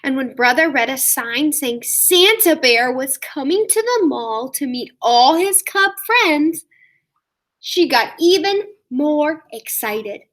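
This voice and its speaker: A woman reading a story aloud to young children like a teacher, expressive, with drawn-out emphasis. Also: sits high. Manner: expressive, asks listeners questions, drawn-out emphasis.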